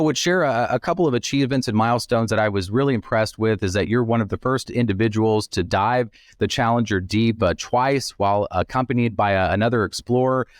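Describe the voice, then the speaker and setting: A man hosting an interview podcast in a conversational way, speaking politely with a halting delivery. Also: lowish voice, dry recording, studio quality. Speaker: podcast host.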